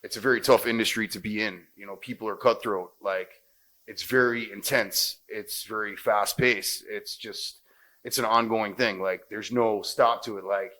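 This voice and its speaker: Deep smooth-voiced Jamaican man. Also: normal speed, low-quality recording. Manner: relaxed, many fillers like "you know". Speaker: actor and radio host guest. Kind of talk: casual interview chat.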